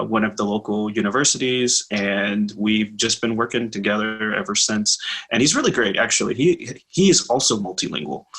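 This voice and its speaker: A middle-aged man co-hosting a casual show over a video call with a headset, telling his story in a thoughtful, measured way with fillers. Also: lowish voice, bright, forceful tone, husky. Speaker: co-host.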